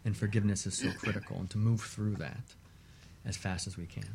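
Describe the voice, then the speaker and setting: Low, mellow-voiced man, measured talk. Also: steady tone, gravelly, slight background noise. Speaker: relationship therapist as show guest. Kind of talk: conversational discussion of relationships.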